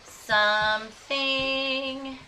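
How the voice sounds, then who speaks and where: A woman teaching elementary pupils in a classroom, asking questions and repeating answers deliberately with encouraging endearments. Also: high voice, steady tone, bright, forceful tone. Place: classroom with children. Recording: distant mic, clearly audible room noise.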